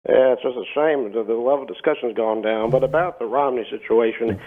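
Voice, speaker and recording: A man calling in to a live TV political program, giving comments in an earnest, deadpan way. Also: mid-range voice, a little fast, close to an everyday voice. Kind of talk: prank call posing as earnest political comment. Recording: muffled narrow telephone line.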